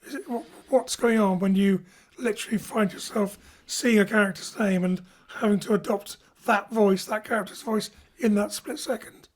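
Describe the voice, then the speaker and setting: A woman's voice: mid-range voice, fairly even intonation, normal speed, a little mellow. A woman hosting a post-show interview as director, with reflective, rambling questions. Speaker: director and host.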